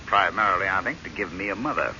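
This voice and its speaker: An actor playing a bitter, resentful husband in a radio drama, sardonic, brooding and insistent. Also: male voice, low-pitched, expressive, quick pace. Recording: muffled old radio recording, faint noise.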